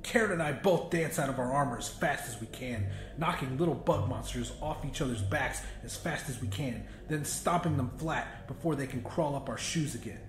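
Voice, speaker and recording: A man's voice: medium pitch, normal speed. A man narrating a first-person horror audiobook in an intense, emphatic, dramatic style. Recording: roomy echo, music behind.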